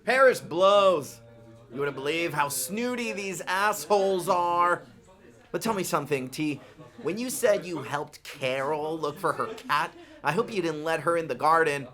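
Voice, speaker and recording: An actor playing a crude, cocky party-going buddy in an audio drama, brash, slangy and emphatic. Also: male voice, a little high, unhurried, fairly bright tone, soft background music. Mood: brash, insistent.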